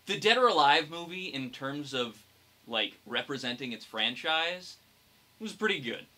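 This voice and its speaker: A man's voice: fairly high pitch, lively intonation, measured pace, sharp tone. A young man talking casually as a podcast co-host. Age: young adult. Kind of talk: casual chat. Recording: clear background noise.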